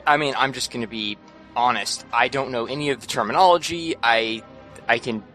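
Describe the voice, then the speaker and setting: Bright-voiced playful male co-host. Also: medium pitch, brisk, faint music behind. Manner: playful, self-mocking. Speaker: podcast co-host. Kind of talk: casual podcast chat.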